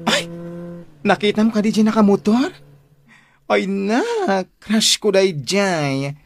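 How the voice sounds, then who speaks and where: A woman's voice: mid-range voice, takes it slowly. A woman playing a chatty, giddy young woman with an exclaiming, gossipy delivery in a radio drama. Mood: giddy gushing.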